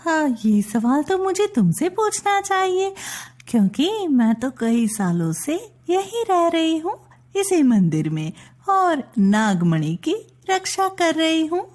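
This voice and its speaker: A woman voicing a gentle, good-hearted female serpent in an animated bedtime story, earnest and a little hesitant. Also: extremely high pitch, takes it slowly, exaggerated voice. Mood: wary, questioning.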